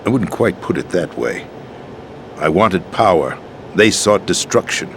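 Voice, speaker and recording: An actor playing a disillusioned, world-weary middle-aged American president in a dramatic video game scene, with an emphatic theatrical delivery. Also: male voice, low-pitched, lively intonation, a little slow, a bit bright, a little hiss or hum. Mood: bitter, intense. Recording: game soundtrack music underneath.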